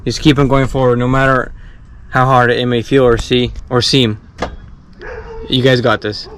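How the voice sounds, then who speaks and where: Hoarse male car vlogger, casual and upbeat, recorded outdoors on camera with steady background noise. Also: medium pitch. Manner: chatty, encouraging, halting phrasing. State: raspy from feeling unwell. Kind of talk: casual talk while working on a car.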